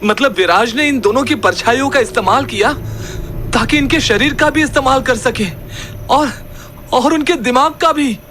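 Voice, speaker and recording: A woman performs an alarmed young hero in a horror audio drama, thinking aloud in a high voice, with faint noise behind. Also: moderate pace, fairly bright tone. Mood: worried, resolved.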